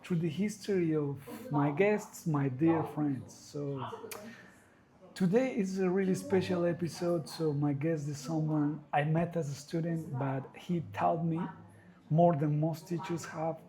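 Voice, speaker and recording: A man hosting a podcast, asking questions in a gentle, reflective way with some hesitation. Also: a little high, a little slow, crowd noise, poor audio. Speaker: podcast host. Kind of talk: podcast interview.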